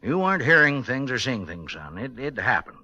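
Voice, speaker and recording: An actor playing a knowing, dry, bluntly paternal investigator in a 1950s radio drama. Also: male voice, mid-range voice, sing-song, fairly quick, pushed and bright, no room sound, lo-fi sound, heavily acted. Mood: grave, explaining.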